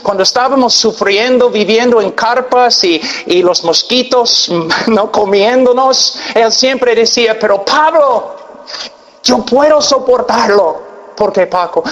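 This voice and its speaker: A man with an American accent preaches in a large church hall, his voice ringing with long reverb. He talks slowly and deliberately, his fairly high voice pushing to emphatic peaks, then dropping to hushed, intense near-whispers. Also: dull audio. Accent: American, with Peruvian Spanish learned late. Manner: earnest, emphatic, long pauses, drops to quiet intensity. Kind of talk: sermon.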